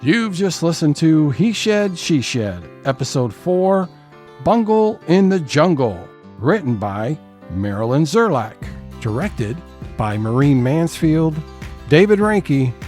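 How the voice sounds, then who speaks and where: A man's voice: fairly high pitch, very animated, takes it slowly, darkish tone, faint music behind. A man hosting an audio theater program, reading scripted credits as an announcer. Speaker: host and announcer.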